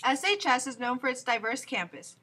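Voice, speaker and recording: A young woman student reporter reading a scripted news segment with even, read-aloud pacing. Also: high voice, fairly quick, husky.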